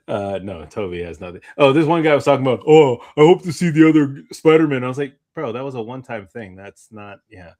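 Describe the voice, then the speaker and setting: A man's voice: medium pitch, animated, brisk, darkish tone. A man chatting casually as a podcast co-host, rambling and often breaking off to reword himself. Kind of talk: casual chat.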